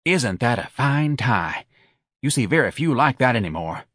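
A man voicing a dapper, eager and easily flustered male office manager in an audiobook, bright and effusive in manner. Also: mid-range voice, very animated, normal speed, slightly rough, no room sound, clean studio sound, pitched above the performer's own voice. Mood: eager welcome. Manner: bright, effusive, anxiously apologetic.

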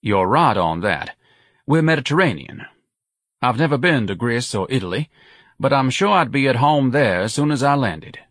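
A breezy, proud city local, as a male audiobook narrator puts him on. He talks with easy assurance in a medium-pitched male voice, recorded very dry. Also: animated, normal speed, fairly bright tone, no echo. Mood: breezy, assured. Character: proud, breezy New Orleans local man being quoted.

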